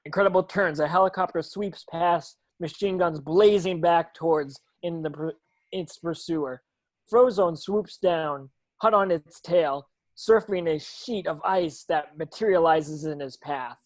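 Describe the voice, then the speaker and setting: A man's voice: on the high side, measured pace. A man narrating stage directions from a script at a table read, sometimes tripping over words.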